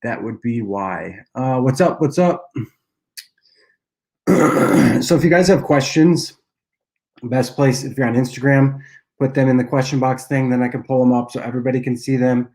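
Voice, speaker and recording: A young man with a nasal voice from a cold, casually answering viewer questions on a livestream and often clearing his throat. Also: mid-range voice, normal speed, pauses often. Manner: relaxed, loose delivery with frequent uhs and throat clearing. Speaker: app cofounder and dietitian hosting a Q&A livestream.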